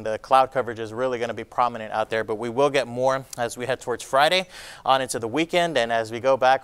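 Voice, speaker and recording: A man's voice: mid-range voice, clear, resonant tone. A man in his thirties with an American accent, presenting an ad-libbed TV weather forecast from a studio. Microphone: lapel mic.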